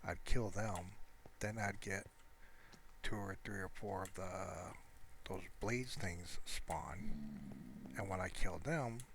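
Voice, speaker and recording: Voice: low voice, takes it slowly. A gamer casually narrating their own gameplay, thinking aloud in a rambling, easygoing way over a noisy home recording.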